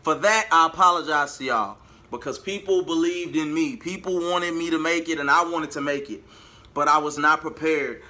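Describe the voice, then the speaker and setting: A man's voice: fairly high pitch, normal speed, bright, forceful tone. A man telling a personal story to his viewers in a casual, emphatic way, repeating phrases for emphasis. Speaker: vlogger and R&B singer.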